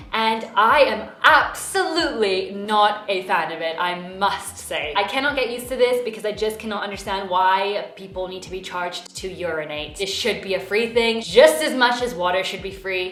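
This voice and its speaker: A woman's voice: mid-range voice, fairly bright tone. A young woman from Belgium talking casually and frankly to camera in a vlog, mildly indignant at times. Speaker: vlogger. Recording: roomy indoor echo.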